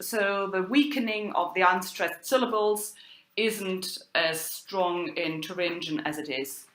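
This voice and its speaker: A woman lecturing informally as an academic, explaining material in a halting, hesitant way with frequent filled pauses. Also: medium pitch, a bit bright. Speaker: university lecturer in medieval German literature. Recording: echoey, roomy sound.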